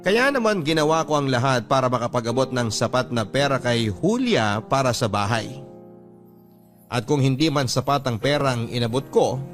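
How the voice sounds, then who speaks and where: A man's voice: mid-range voice, expressive, moderate pace, lightly acted. Actor as earnest husband telling his story over music. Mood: tender, sentimental. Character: devoted husband and father fondly recounting his married life. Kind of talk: first-person dramatized radio story narration in Tagalog. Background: music bed.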